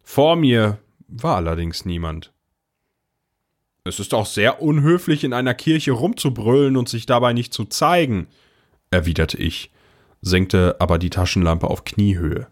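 A man's voice: on the low side, measured pace. A man playing a wry, unflappable older brother, narrating his story in a dry, understated way. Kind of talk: scripted first-person narration.

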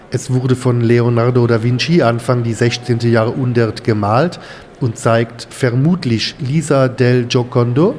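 A male actor plays a French tour guide in an audio drama. He has a French accent and a courteous, enthusiastic manner, pausing to search for words. His mid-pitched voice rings in a large hall over faint ambience. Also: darkish tone, slight background noise, lightly acted. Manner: theatrical, deliberate, searching for words.

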